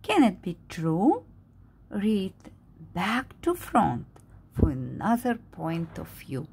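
Very slow, expressive woman reading aloud. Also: mid-range voice, vocal fry, husky. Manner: slow, dramatic, expressive delivery with simple explanations. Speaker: picture-book reader. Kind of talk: read-aloud storytelling with asides to young listeners. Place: indoors at a table.

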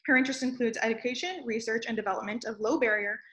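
Brisk woman moderator, higher voice, speeding through a formal guest introduction over a video call. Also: fairly bright tone. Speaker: webinar moderator. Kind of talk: reading a speaker introduction.

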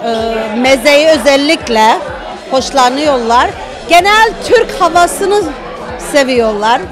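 A woman's voice: high-pitched, lively intonation, slow, crowd noise. A middle-aged woman, a restaurant guest, answering questions in a friendly, approving way. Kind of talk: interview answers. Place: busy restaurant. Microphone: handheld mic. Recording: noisy restaurant with music.

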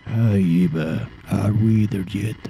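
Man as deep, gravelly super soldier. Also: takes it slowly, noisy background. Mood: questioning. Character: male engineered super soldier, newly awakened, memoryless.

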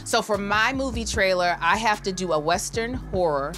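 A woman's voice: medium pitch, expressive, moderate pace, a bit bright, faint music behind. A woman giving a casual, candid commentary to camera as a contestant in a studio. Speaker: contestant, voice-over performer. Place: podcast studio.

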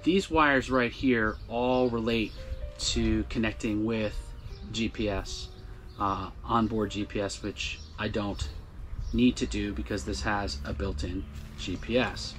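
An American man in his fifties hosting a casual hands-on DIY vlog, talking in a halting way as he thinks aloud, recorded on a boat by a camera in front of him. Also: on the low side, steady tone, a bit bright, soft background music. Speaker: video host. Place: outdoors on a small boat under a canvas top at a marina.

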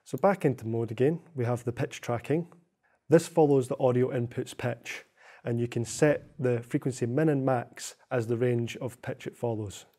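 A young man narrating a software tutorial in a measured, explanatory way. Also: medium pitch. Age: young adult. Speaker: software demonstrator.

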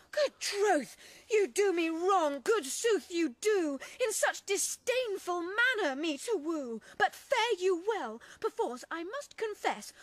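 A woman's voice: extremely high pitch, a bit bright, dry recording, exaggerated voice. A woman playing a young lovelorn maiden in a classical radio drama, earnest and plaintive, with theatrical verse delivery.

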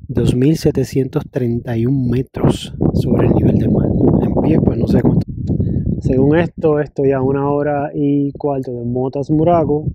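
A man's voice: medium pitch, warm tone. A man narrating a travel vlog in a casual, chatty way, speaking into a handheld camera as he walks. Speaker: travel vlogger. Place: mostly outdoors on mountain hiking trails. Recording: dull, noisy outdoor handheld recording.

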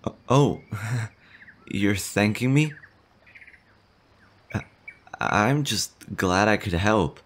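A timid, stammering slime boy, voiced by a man in an audio roleplay, fretting and apologizing as he speaks. His voice sits very low, while birds chirp faintly behind him. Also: expressive, drawn-out words, long pauses, a bit bright, exaggerated voice. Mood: shy. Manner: stammering, anxious, fretful. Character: nervous shy male slime monster. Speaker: voice actor.

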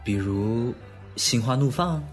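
A man's voice: mid-range voice, expressive, slow, faint music behind. A young man acting in an audio drama as a blunt, sardonic best friend who probes and teases. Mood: sardonic, teasing.

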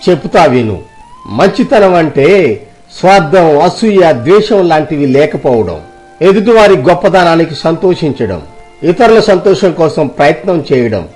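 A man's voice: on the high side, a little mellow, natural voice, rough recording. A man playing a kindly older uncle who counsels his nephew in a soothing, earnest, fatherly way in a moral story. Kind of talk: Telugu moral story audio drama.

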